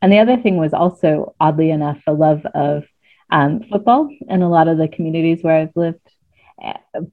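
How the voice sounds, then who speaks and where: Woman, low voice, blended American-British accent, hesitant and thoughtful, tinny call audio. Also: normal speed. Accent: mixed American and British. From United States. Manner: thoughtful, hesitant with frequent ums. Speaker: guest, coach. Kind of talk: casual interview chat. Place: at home near Cardiff. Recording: tinny phone-like remote call.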